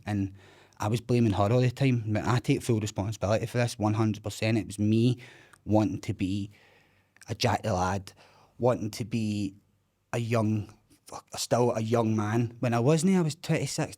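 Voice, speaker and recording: A Scottish man in his thirties, a self-described loudmouth, bluntly and candidly telling his own story with plenty of swearing in casual interview talk. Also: mid-range voice. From Scotland.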